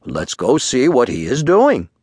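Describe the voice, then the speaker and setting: A man's voice: mid-range voice, huge pitch swings, measured pace, a little mellow, slightly rough, no echo, clean studio sound, full character voice. A man voicing a small, curious, sneaky mink in a children's story, speaking with eager urgency.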